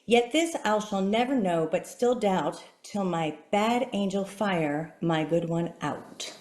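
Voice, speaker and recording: A woman briefly introduces herself, then reads a sonnet aloud in a clear, steady manner. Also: medium pitch, slow, slight background noise. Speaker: poetry reader. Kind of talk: sonnet recitation. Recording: roomy echo.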